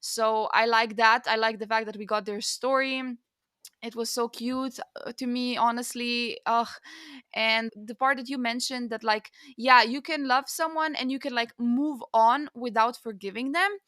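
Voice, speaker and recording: A woman's voice: a little high, steady tone, moderate pace, bright, forceful tone, no echo, studio quality. A woman hosting a podcast, chatting casually about books in an informal, opinionated way, often saying "like". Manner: informal and opinionated, peppers speech with "like". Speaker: podcast host. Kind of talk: casual book discussion.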